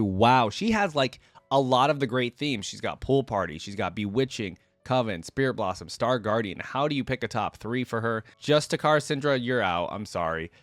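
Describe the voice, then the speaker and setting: Man, medium pitch, casual gaming commentary, slangy, viewer-directed, dry room-free sound. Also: no room sound. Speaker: YouTube host. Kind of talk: casual reaction commentary on video game skins.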